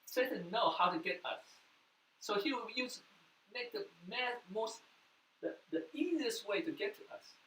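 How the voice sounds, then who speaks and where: A man's voice: high voice, sing-song, moderate pace. Man preaching in an echoey room. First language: Mandarin. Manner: earnest preaching, sudden loud bursts then soft drops. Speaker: pastor. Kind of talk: sermon. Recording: echoey room, mic at a distance, faint noise.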